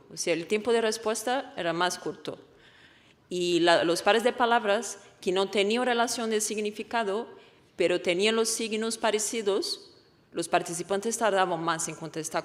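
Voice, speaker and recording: A woman, a native Portuguese speaker with a Portuguese accent, gives a research presentation in an explanatory, halting way, often correcting herself. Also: mid-range voice. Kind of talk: conference presentation. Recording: echoey hall with long reverb.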